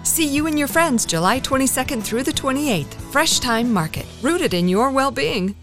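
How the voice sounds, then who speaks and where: A woman reading a radio ad in a bright, upbeat promotional voice. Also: high-pitched, expressive, moderate pace. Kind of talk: radio ad read. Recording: ad with music bed.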